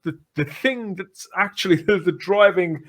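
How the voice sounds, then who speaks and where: A man's voice: high-pitched, moderate pace, fairly bright tone. A British man hosting a casual fan podcast, chatty and often repeating phrases as he builds a point. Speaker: podcast host.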